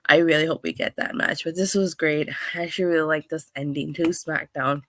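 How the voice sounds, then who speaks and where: A woman with a hoarse, clogged voice from being under the weather, hosting a chatty solo review with lots of restarts. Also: deep voice, fairly even intonation, a little fast, fairly bright tone. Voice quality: clogged, stuffy voice. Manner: chatty, rambling, with many restarts and fillers. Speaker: wrestling show reviewer, host. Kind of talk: casual solo review talk.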